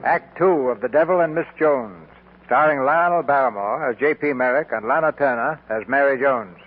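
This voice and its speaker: A man's voice: mid-range voice, normal speed. A man reading scripted narration as announcer of a 1940s radio drama. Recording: tinny old radio, music behind.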